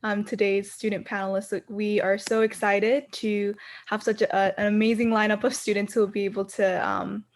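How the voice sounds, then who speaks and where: A woman's voice: medium pitch, level tone, normal speed, hardly any pauses. Friendly woman moderating a webinar. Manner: friendly, filler-heavy moderating. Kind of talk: hosting a webinar panel. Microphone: video call.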